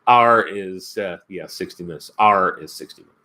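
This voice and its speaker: A laid-back man with a Kentucky accent, talking to his viewers on a live stream. His voice sits a little low, and he rambles along, chuckling and answering chat comments as they come in. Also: moderate pace, sharp tone. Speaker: outdoors channel host.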